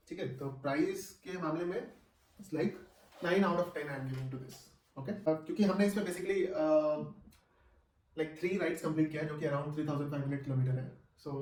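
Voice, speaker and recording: A man's voice: a little high, a little mellow. A man giving a casual, conversational product review, mixing in English phrases and often checking in with short tag questions. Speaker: vlogger reviewing gear. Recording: echoey room, distant microphone.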